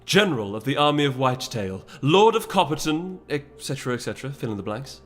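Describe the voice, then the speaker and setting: A man's voice: mid-range voice, very animated, fast, soft background music, pitched above the performer's own voice. A man voicing a proud, resolute noble mare who speaks formally and imperiously in a dramatic fantasy audiobook. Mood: commanding.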